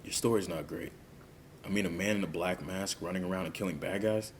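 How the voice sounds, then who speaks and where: A man acting a calm police interrogator who coaxes and probes in a measured way. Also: low-pitched, slight background noise, natural voice. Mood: calm, probing.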